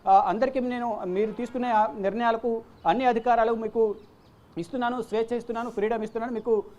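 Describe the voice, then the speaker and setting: A woman presenting unscripted news commentary, repeating phrases and pausing on fillers as she goes. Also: fairly high pitch, fairly even intonation, dark tone, lo-fi sound.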